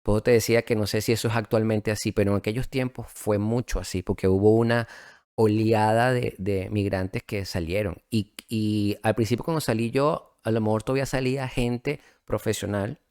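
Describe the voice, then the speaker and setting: A middle-aged man with a Venezuelan accent, telling his story as a guest in a casual interview, relaxed and reflective, with many fillers and restarts. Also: lowish voice, moderate pace, smooth, no echo, clean studio sound.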